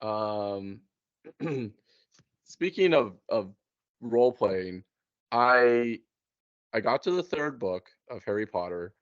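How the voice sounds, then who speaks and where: A man in a casual, informal chat, thinking aloud in halting phrases. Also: fairly low pitch, expressive, clear, resonant tone, dry recording, muffled recording.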